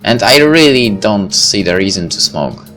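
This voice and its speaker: A man's voice: lowish voice, very animated, normal speed, fairly bright tone. A man reading a visual novel aloud as narrator, with casual asides. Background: background music.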